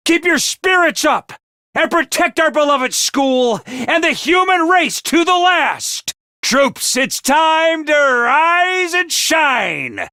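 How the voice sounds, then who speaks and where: An actor playing a theatrical male academy commander who swings from booming cheer to menace, in a game. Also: sharp tone, clean tone, no echo, clean studio sound, full character voice. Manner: booming, over-the-top cheer. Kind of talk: video game voice lines.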